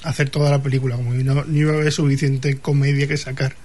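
A man from talking casually about film as a panelist, halting and correcting himself as he goes. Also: medium pitch, level tone, darkish tone. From Spain. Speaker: podcast panelist.

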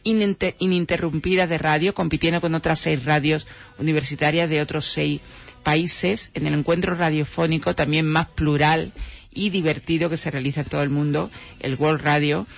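A man with a Spanish accent from Spain delivers radio commentary in a fairly high, gravelly voice. He speaks haltingly, half reading and half chatting, and often rounds off points with a short questioning tag. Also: steady tone. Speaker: radio show contributor. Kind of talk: semi-scripted radio commentary in conversation.

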